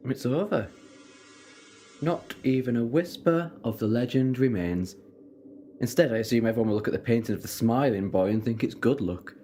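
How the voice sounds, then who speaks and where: A man's voice: mid-range voice, animated, normal speed, warm tone, a little hiss or hum, natural voice. A man playing a kindly, assured time-travelling adventurer in an audio drama, reassuring and breezily understated. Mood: matter-of-fact.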